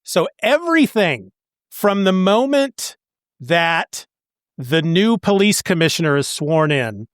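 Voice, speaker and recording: A man with a high voice talks casually as a podcast co-host. He retells plot points in an animated, conversational way, and the sound is dry with very little room. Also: takes it slowly, dry recording. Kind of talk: casual comic book discussion.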